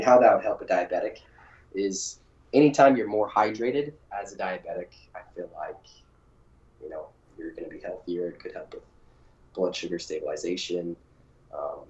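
A man with a cold and a raspy, froggy voice, explaining things casually as a podcast guest. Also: low-pitched, a little mellow. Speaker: podcast guest, company founder. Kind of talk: casual interview chat. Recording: echoey, dull audio.

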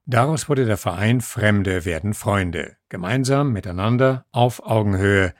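Man with a low, dry narration voice. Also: measured pace, dry recording. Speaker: reporter. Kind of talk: scripted feature narration.

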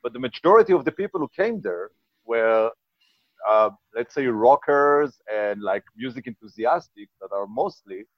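A man's voice: mid-range voice, no room sound, highs cut off. A man in his forties from Israel, a band member and bar owner, talking earnestly in a casual interview and searching for words. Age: 40s. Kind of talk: casual interview over a call.

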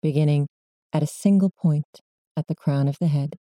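A woman leading a guided meditation, giving gentle, measured instructions as a meditation teacher. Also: low voice, no echo, studio quality.

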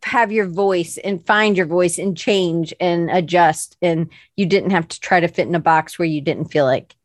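A woman's voice: lowish voice, normal speed, dry recording. A woman hosting a casual interview who introduces her guest with enthusiastic, effusive praise. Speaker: host.

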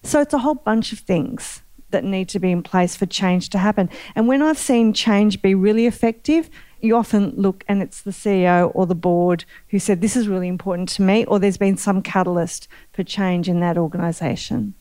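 A woman speaking as a panel guest and board member, in a measured, emphatic way. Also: mid-range voice, mellow, dry recording. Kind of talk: panel discussion.

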